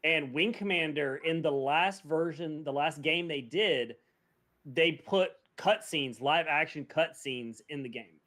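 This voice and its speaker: A man's voice: on the high side, unhurried, a bit bright. A man co-hosting a podcast, chatting casually. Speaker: podcast co-host. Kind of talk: casual chat.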